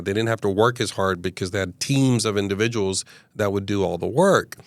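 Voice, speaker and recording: Man, 50s, from Uganda, interview guest; smooth low voice, earnest storyteller. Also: no echo. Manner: earnest, expansive, hesitant fillers. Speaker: guest, mayoral candidate.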